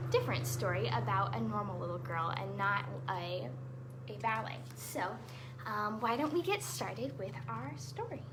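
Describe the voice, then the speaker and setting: A woman's voice: fairly high pitch, noisy background. A woman hosting a children's storytime, reading aloud expressively with chatty asides and explaining words simply for young listeners.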